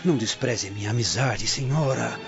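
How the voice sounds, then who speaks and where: A man's voice: mid-range voice, moderate pace, lightly acted. A man with a Brazilian accent playing a lovelorn Roman suitor, imploring and melodramatic in a radio soap. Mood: pleading, lovesick. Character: lovelorn, pleading male suitor, a Roman prefect. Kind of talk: radio soap acting in Portuguese. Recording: muffled radio drama with clear music underneath.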